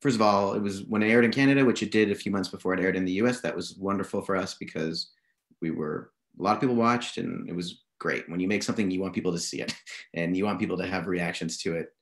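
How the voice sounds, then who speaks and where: A man on a video call panel, relaxed and plain-spoken. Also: deep voice, fast talker. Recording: echoey room on video call.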